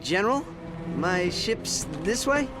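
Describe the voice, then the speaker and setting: A wry young alien hero in a video game, casual and quick with a joke, in a fairly high, lively voice. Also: male voice, loud music behind the voice, full character voice.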